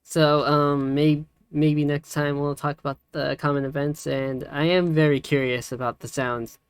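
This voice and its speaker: Very low-voiced woman hosting a casual stream. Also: flat delivery, moderate pace, smooth, dry recording. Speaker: tutorial stream host. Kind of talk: casual livestream chat.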